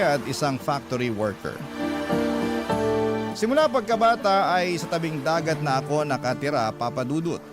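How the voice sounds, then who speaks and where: A man delivering scripted dramatic narration, reading out a personal letter in slow, deliberate phrases. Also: medium pitch. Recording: music behind the voice. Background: loud music.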